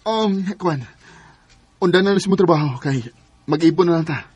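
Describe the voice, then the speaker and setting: Husky-voiced Bisaya suitor. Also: male voice, on the high side, normal speed, darkish tone, dry recording. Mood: coaxing. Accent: Bisaya Filipino. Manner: pleading, romantic. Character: ardent, earnest young male suitor, devoted and eager. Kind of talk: Bisaya radio drama acting.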